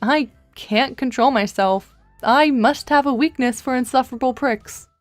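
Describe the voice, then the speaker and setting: A woman's voice: high-pitched, unhurried, dry recording, faint music behind. A woman playing a wry, articulate teenage girl in a comic dub, speaking with precise, formal dryness. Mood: dry, teasing. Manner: precise, formal, dry wording.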